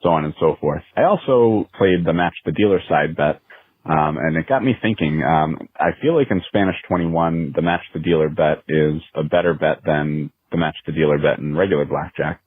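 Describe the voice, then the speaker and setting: A man from Connecticut with a husky voice, calling in as a listener with a casual voicemail over a phone line. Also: very deep voice, moderate pace, no echo. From Connecticut, United States.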